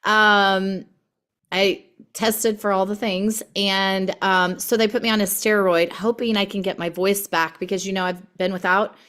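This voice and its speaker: A woman with a hoarse voice she says she is losing, hosting a video call and giving chatty announcements full of ums. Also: medium pitch, little pitch movement, a little slow. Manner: chatty and warm, many ums.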